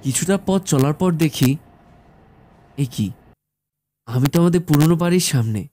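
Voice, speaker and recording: A man narrating a scripted first-person horror story in a measured storytelling manner. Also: a little high, animated, warm tone, a little hiss or hum. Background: background music.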